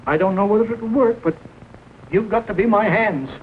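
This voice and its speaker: An actor playing a grave small-town doctor who gives short, measured orders in 1940s film style. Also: male voice, high-pitched, lightly acted. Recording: narrow tinny old film soundtrack, hiss.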